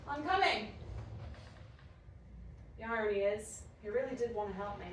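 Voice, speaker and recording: A woman's voice: mid-range voice, animated, moderate pace, distant mic, lightly acted. An actress playing a curious, wry young woman from Earth in an audio drama, with a dry, informal, inquisitive manner. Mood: reflective. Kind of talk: audio drama acting with first-person narration. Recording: very echoey, off-mic, clearly noisy.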